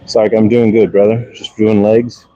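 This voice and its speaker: A man's voice: lowish voice, flat delivery, moderate pace, warm tone, dull audio. A man in his early forties casually talking viewers through his workout live, explaining exercises offhand as he goes. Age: early 40s. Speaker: fitness live streamer. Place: workout space.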